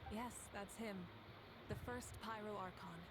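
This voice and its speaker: A woman playing a calm, reassuring and confident female leader in a video game, composed and gracious. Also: mid-range voice, unhurried, very noisy, natural voice. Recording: loud game ambience behind the voice.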